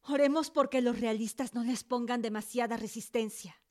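A woman's voice: fairly high pitch, fairly even intonation, moderate pace, natural voice. An actress playing a resolute young patriot woman devoted to justice, in a radio drama. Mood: guarded, composed. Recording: music under the voice.

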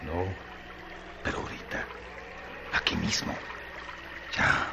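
An actor playing a bored, scornful, domineering young Mexican rancher in a radio drama, with colloquial, brooding speech. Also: male voice, takes it slowly, close to an everyday voice. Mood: insistent. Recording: clearly noisy radio drama track.

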